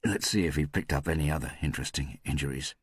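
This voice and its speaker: A man voicing a gruff, stubborn male Soviet militia detective with a curt manner in an audiobook. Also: a bass voice, quick pace, no room sound, clean studio sound.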